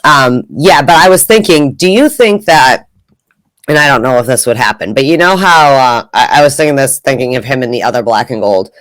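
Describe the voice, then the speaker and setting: A man's voice: medium pitch, expressive, brisk, a bit bright, no room sound, rough recording. A man co-hosting a casual hockey podcast, chatty and rambling, full of "like". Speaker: podcast co-host. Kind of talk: casual chat.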